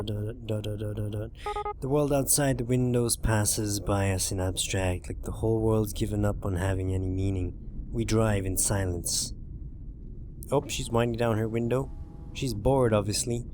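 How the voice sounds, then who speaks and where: A man's voice: on the low side, a little mellow, slight background noise. A man hosting a gaming playthrough, reading a visual novel aloud with informal, jokey asides and occasional swearing. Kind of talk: narrated playthrough with dramatic reading and casual asides.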